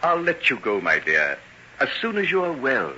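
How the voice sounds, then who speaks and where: An actor playing a stern, controlling gentleman doctor in an old-time radio melodrama, commanding and condescending in his delivery. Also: medium pitch, wildly swooping pitch, moderate pace. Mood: soothing, controlling. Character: domineering, stern gentleman doctor feigning soothing concern. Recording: muffled, noisy vintage radio recording.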